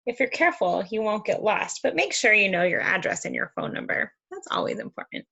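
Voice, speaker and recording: A woman reading a picture book aloud to young children, expressive and encouraging, with conversational asides. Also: mid-range voice, brisk, vocal fry, highs cut off. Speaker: read-aloud host for children.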